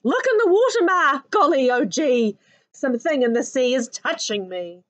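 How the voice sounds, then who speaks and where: Woman playing frightened young child, New Zealand accent, high and loud, shrill alarm, picture-book read-aloud. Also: lively intonation, normal speed, dry recording, highs cut off. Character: panicky young child squealing at sea creatures.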